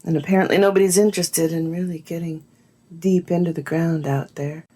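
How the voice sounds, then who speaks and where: An actress playing a thoughtful woman musing on dark local history in a measured, journal-like audio drama monologue. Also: fairly low pitch, steady tone, breathy edge. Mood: pensive. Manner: measured, pensive.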